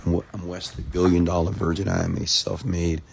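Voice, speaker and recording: A man from Texas, hoarse after a seminar, hosting a late-night livestream, greeting viewers by name and giving motivational talk. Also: deep voice, little pitch movement, a little fast, darkish tone, a little hiss or hum. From Texas, USA. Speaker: entrepreneur hosting a live stream.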